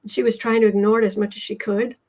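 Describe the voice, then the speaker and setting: An American woman hosting a casual tarot livestream, chatting haltingly as she comments and reads out viewer messages, often repeating her words. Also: medium pitch, level tone, a little mellow. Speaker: host of a live tarot and astrology show.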